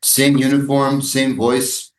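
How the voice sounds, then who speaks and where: A woman acting a weary, sardonic army prison guard in a staged reading, with a dry deadpan delivery. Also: rumbling low, slow, reverberant, rough recording.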